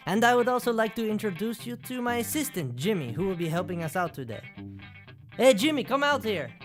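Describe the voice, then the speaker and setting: An actor playing a proud, wealthy 1800s Basque rancher guiding a museum tour, theatrical and formal, with a Basque accent. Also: male voice, sits high, fairly bright tone. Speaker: museum guide in character. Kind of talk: scripted educational skit.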